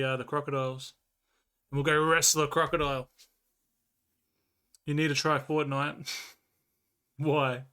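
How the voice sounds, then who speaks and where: A man's voice: a little high, sharp tone. An Australian man streaming live, rambling casually as he reads and answers his chat and swears freely.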